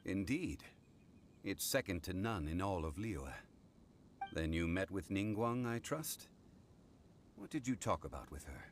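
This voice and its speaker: A man playing a composed, courteous gentleman in a video game, speaking in a formal, measured way. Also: low-pitched, big pitch swings, slight background noise, full character voice. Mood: calm, courteous. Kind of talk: video game character dialogue. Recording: game music behind the voice. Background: game music.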